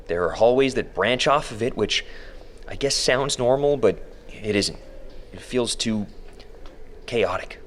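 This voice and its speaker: A man's voice: on the low side, close to an everyday voice. Actor as anxious captive man, noisy ambience. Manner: halting, musing aloud, self-questioning. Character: anxious captive man exploring an underground maze. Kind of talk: audio diary monologue in fiction podcast drama.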